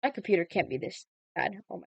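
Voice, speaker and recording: A woman's voice: on the low side, sing-song, quick pace, no echo, lo-fi sound. A woman livestream host reading a visual novel aloud, with playful casual reactions. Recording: background music under the voice. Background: music.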